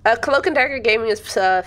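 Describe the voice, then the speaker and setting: A woman's voice: a little high, a bit bright. Playful woman chatting on a game stream. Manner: playful, exclamatory, chatting to viewers. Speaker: livestreamer. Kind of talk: casual gaming stream chat.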